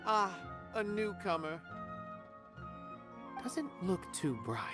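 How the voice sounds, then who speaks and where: Pompous aloof philosopher, animated mid pitch. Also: female voice, slow, music in the background. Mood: appraising. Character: aloof, condescending art philosopher who watches the theater. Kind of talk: video game voice acting.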